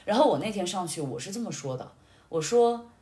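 A woman's voice: medium pitch, lively intonation, fairly quick, warm tone. A young woman chatting reflectively and articulately, laying out her thoughts in order as a podcast host. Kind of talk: reflective casual chat. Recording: echoey, roomy sound.

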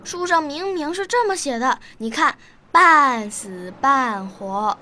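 A woman's voice: sits high, lively intonation, slow, pushed and bright, exaggerated voice. An actress playing a young, curious, bookish schoolgirl in a Chinese radio drama. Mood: curious, earnest.

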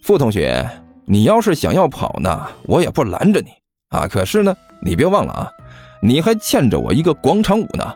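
Guy doing a smug chubby student for an audiobook, teasing, fake concern, super swoopy higher pitch, bit of music. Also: huge pitch swings, normal speed, a little mellow, full character voice. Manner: drawling, mock-concerned, jeering. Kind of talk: audiobook character acting.

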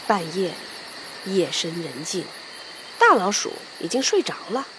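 A woman's voice: mid-range voice, very animated, measured pace, background noise. A woman narrating a picture book for children, playful and dramatic, often dropping into a whisper. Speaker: children's storyteller.

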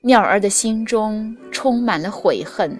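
A woman teacher reading a fairy tale aloud to children in a gentle, expressive storytelling voice. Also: mid-range voice, a little slow, faint music behind.

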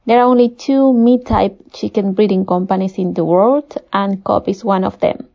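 Female guest expert, Peruvian Spanish accent, gravelly edge, measured formal explanations, slightly muffled recording. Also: mid-range voice, fairly even intonation. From Peru. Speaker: guest, poultry nutritionist. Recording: dull, muffled lo-fi audio.

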